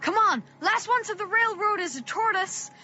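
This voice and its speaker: A young male actor playing an eager, impulsive thirteen-year-old boy in a radio play, excitable and exclaiming. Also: very high voice, moderate pace, a little hiss or hum, full character voice. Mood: excited. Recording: muffled audio with music.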